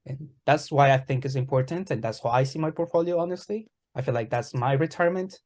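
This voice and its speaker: Laid back guy on a podcast, average pitch, lots of like and kinda. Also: fast. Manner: relaxed, many fillers like "kinda, like". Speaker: podcast co-host. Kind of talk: casual podcast chat.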